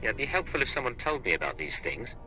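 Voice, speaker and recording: An actor playing a cold, curt imperial intelligence agent in a video game, clipped, menacing and businesslike. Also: male voice, mid-range voice, very animated, quick pace, pushed and bright, faint music behind, poor audio. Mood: dry, curt. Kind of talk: video game cutscene acting.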